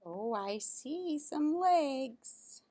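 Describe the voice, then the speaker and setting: Slow, animated woman reading a children's story. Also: sits high, a little hiss or hum. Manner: pauses to comment on pictures and ask listeners questions. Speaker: storyteller reading a children's picture book aloud. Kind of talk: read-aloud storytelling with casual asides to young listeners.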